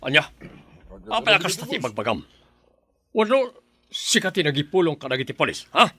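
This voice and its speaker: A man's voice: on the high side, sing-song, moderate pace, pushed and bright, husky, heavily acted. An actor playing a ruthless, defiant male gang boss in an Ilocano radio drama, barking orders and threats. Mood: threatening.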